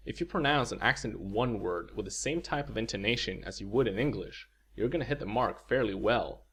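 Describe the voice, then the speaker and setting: A man teaching in a scripted video lecture, explaining clearly and saying example words for listeners to repeat. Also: lowish voice, expressive, brisk, fairly bright tone, a little hiss or hum. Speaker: language teacher.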